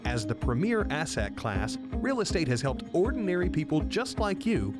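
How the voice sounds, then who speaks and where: A man reading a scripted podcast introduction in a lively announcer delivery. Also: fairly high pitch, normal speed. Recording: voice over loud music bed. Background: loud music.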